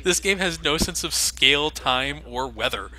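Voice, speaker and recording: A man talks over gameplay with a very bright, forward tone and a lively, swinging pitch. He pokes fun at the game in a loose, sarcastic way, talking into a desk microphone. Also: normal speed, rough recording. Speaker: let's play commentator. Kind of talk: casual game commentary.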